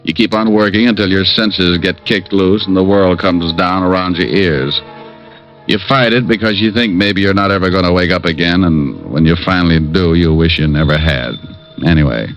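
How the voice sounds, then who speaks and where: Deep-voiced, wry private detective. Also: male voice, moderate pace, soft background music. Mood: wry narration. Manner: wry, deadpan. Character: tough, wry male private detective, unflappable and needling. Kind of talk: 1950s radio drama acting and hard-boiled narration. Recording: dull, faintly noisy old radio recording.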